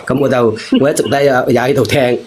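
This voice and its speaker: A man giving casual advice on a call-in show, reasoning point by point in a measured way. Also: mid-range voice, steady tone, darkish tone, a little hiss or hum.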